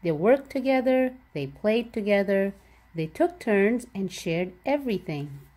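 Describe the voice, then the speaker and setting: A woman's voice: mid-range voice, big pitch swings, measured pace, mellow. A woman reading a children's picture book aloud, expressively and with careful enunciation for young listeners. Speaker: read-aloud storybook narrator.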